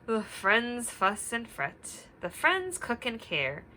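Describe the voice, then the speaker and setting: Expressive, bright-voiced woman reading to children. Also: on the high side, takes it slowly, a little hiss or hum. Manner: expressive, simple explanations for young children. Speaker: teacher reading aloud. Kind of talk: children's read-aloud with questions to listeners.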